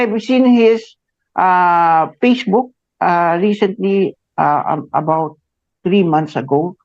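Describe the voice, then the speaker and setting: A Filipino man in his forties hosting a radio interview, speaking haltingly with many fillers and a tagged "no?". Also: high voice, dry recording. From Philippines. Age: 40s. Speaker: radio host. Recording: muffled dry radio studio sound.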